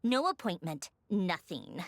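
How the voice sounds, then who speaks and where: A woman's voice: on the low side, very animated, unhurried, no room sound. A woman playing a poised, teasing noblewoman in authority in a video game, haughty yet caring, with polished wry phrasing. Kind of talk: video game character acting.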